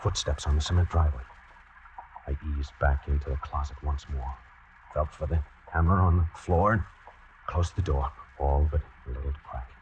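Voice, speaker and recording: An American actor playing a lovesick, nervous, reluctant killer in a 1940s-style radio suspense drama, narrating in the first person and halting under pressure. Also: male voice, extremely low pitch, a little mellow. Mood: tense narration. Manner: halting, hesitant, stammering when pressed. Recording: muffled, noisy old radio recording.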